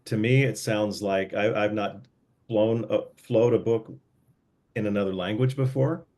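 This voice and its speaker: A man on a group call, calmly explaining the book editing and layout services he offers. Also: lowish voice, a little slow. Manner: easygoing, explains step by step with fillers. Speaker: book editor and layout guide. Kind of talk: casual group video call.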